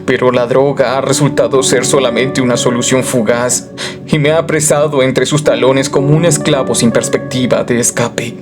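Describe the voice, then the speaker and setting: Horror radio drama: male actor as a tormented man on the edge of madness, solemn and theatrical, mid-pitched, music bed clearly present. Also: close to an everyday voice. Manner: solemn, theatrical first-person storytelling. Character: tormented, haunted male narrator sliding into madness.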